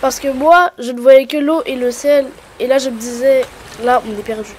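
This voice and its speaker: High-pitched curious little girl voiced by a woman. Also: steady tone, moderate pace, a little mellow, a little hiss or hum, close to an everyday voice. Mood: worried. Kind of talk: children's cartoon dialogue.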